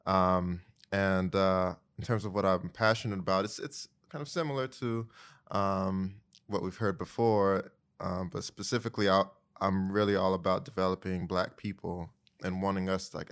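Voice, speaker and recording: A man's voice: sits low, steady tone, moderate pace, fairly bright tone, smooth. An American man from North Carolina, talking thoughtfully as a podcast guest in a conversational way. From Greensboro, North Carolina, USA.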